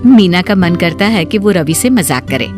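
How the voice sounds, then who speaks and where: A woman's voice: on the low side, expressive, moderate pace, a little mellow. A woman reading scripted narration for a moral story. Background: plucked guitar music.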